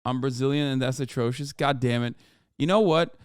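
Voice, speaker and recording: A man's voice: medium pitch, fairly quick, clean tone, no echo, studio quality. A man streaming live, reacting to clips and articles in a blunt, profane, self-mocking way.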